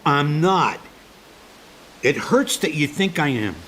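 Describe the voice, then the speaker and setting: Animated, wounded joker of an American dad. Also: male voice, a little high, slow pace. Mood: hurt, defensive. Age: middle-aged. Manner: needling, defensive, theatrically aggrieved. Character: joking, wounded, stubborn middle-aged dad. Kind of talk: comedic audio drama acting. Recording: faint background hiss.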